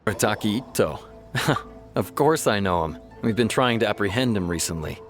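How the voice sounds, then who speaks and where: A man's voice: lowish voice, expressive, moderate pace, lightly acted. A man voicing a firm, businesslike law officer in a video game who explains things in a matter-of-fact way. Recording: game music behind.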